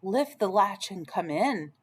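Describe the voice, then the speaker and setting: A woman's voice: medium pitch, animated, measured pace, husky. Woman as a frail, sick old grandma. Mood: feeble. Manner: calling out feebly.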